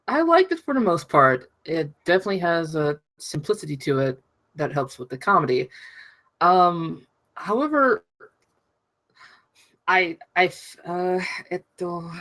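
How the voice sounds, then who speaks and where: A woman giving casual feedback in an online voice chat, hesitant and broken in phrasing, with animated emphasis. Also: on the low side. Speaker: table-read voice performer giving feedback. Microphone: push-to-talk in online voice chat.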